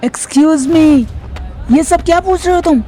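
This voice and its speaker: Woman-like voice for an anxious, high-pitched young man. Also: slow pace, warm tone, a faint crowd, a little hiss or hum. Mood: indignant. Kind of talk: Hindi audio comedy-horror drama acting.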